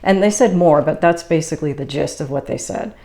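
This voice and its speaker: A woman from the Boston area, a spiritual channeler hosting her own video, explaining things in a calm, casual monologue. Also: deep voice, brisk, vocal fry. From Boston area, USA.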